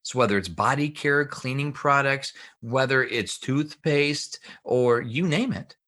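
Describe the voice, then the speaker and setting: A man's voice: medium pitch, unhurried, pushed and bright. A man speaking as an expert guest in a podcast interview, explaining things with emphasis and repeated phrases. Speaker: guest health researcher and author.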